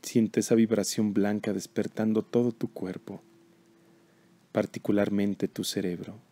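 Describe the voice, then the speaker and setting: Low, mellow man guiding meditation. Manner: calm, measured, instructional. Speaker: meditation guide. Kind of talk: slow guided meditation.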